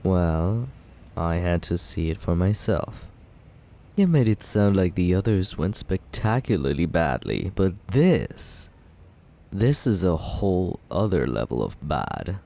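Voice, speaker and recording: A man's voice: low voice, sing-song, slow, creaky, mellow, heavily acted. A young man playing a cocky male villain who courts the listener in an ASMR roleplay, teasing and laid-back as he justifies himself.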